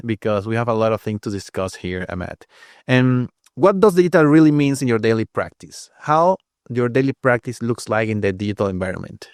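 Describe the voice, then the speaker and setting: A man's voice: medium pitch, a little mellow, smooth, no echo. Hesitant male podcast host, dry sound. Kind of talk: casual podcast interview.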